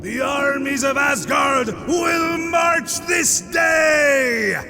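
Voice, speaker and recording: Casting: man as reverent medieval Norse villager, high creaky voice, humble audio drama subject, noisy recording. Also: very slow, sharp tone, raised voice, soft background music, some chatter behind, full character voice. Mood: fervent, rousing. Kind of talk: comic book audio drama acting.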